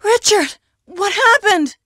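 A high, slow, earnest game heroine. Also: female voice, lively intonation, bright, forceful tone, studio quality, full character voice. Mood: alarmed. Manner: stilted, slow, over-enunciated delivery. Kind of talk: 1990s video game voice acting. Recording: long hollow reverb.

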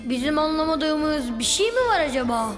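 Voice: high-pitched, animated, normal speed. A performer voicing a curious young child in a children's cartoon, inquisitive and playful, with music behind. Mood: mock regal. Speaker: cartoon character.

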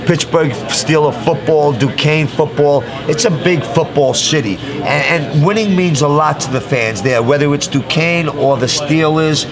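A middle-aged man interviewing a player on a handheld mic, talking in an energetic, emphatic style at a busy indoor media event. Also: on the high side, measured pace, hardly any pauses. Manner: hyped, emphatic catchphrases. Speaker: sports interviewer. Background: crowd chatter.

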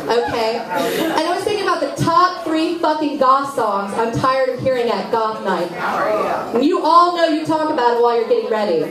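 A woman voicing a brash, foul-mouthed goth woman puppet in a live comedy act, bratty, taunting and sardonic. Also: sits high. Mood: mock exasperated. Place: small indoor club stage, far camera. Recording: roomy, distant mic in noisy crowd.

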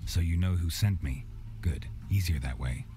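An actor playing a grizzled, skeptical monster hunter in a video game, gravelly, clipped and deadpan. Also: male voice, sits low, normal speed, lightly acted. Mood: dry, businesslike. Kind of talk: dramatic video game acting. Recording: music under the dialogue.

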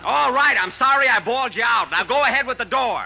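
A man's voice: very high-pitched, animated, fairly quick, gravelly, over music, heavily acted. A man playing a vain, put-upon detective's assistant who grumbles through a 1940s radio comedy. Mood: exasperated. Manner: sulky muttered asides, exasperated outbursts. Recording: noisy old 1940s radio recording.

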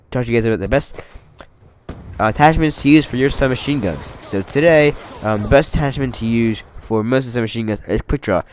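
A young man talks quickly through his gameplay, his voice stuffed up from a cold. He rambles and corrects himself, staying upbeat. A faint hiss of noise sits behind him. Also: mid-range voice. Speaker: gaming commentator. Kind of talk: casual gameplay commentary.

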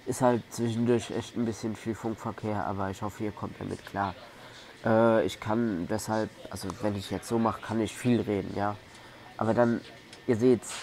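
Lockerer deutscher Gamer mit tiefer, knarziger Stimme. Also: male voice, fairly low pitch, darkish tone, slight background noise. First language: German. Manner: rambling, colloquial, repetitive. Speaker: gaming video host. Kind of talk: casual let's play commentary.

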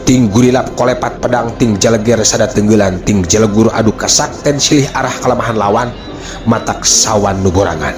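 A man's voice: on the low side, fairly quick. A man with a Sundanese accent narrating a folk tale as a dramatic storyteller.

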